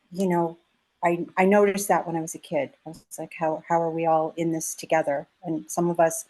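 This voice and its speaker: A woman's voice: on the low side, a little mellow, rough recording. A woman from South America asking an elder an earnest question on a video call, restarting her phrases as she searches for words.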